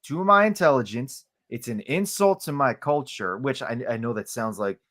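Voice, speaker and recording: A Colombian man whose first language is Spanish, speaking as a guest on a podcast. He talks with passion, pushing his voice up on key points and stumbling when he gets heated. His pitch is average for a man. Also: animated, moderate pace, a bit bright.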